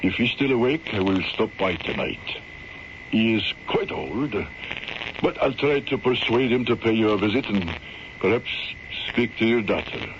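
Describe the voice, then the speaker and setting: Man doing scottish country doctor in an old radio play, calm and polite, very expressive pitch, dull slightly noisy recording. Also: normal speed, natural voice. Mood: reassuring. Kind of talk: old-time radio drama acting. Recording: dull old radio broadcast, some noise.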